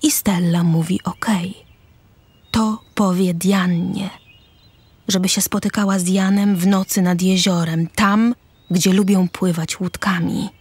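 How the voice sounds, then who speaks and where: A woman's voice: on the low side, steady tone, measured pace, a little mellow, slightly rough, no room sound. A woman reading scripted narration for an audio drama, describing the action as narrator. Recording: music under the voice. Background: music.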